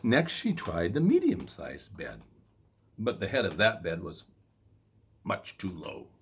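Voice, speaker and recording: A man reading a children's story in a playful, expressive way with dramatic emphasis. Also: low voice, slow pace, vocal fry, slight background noise.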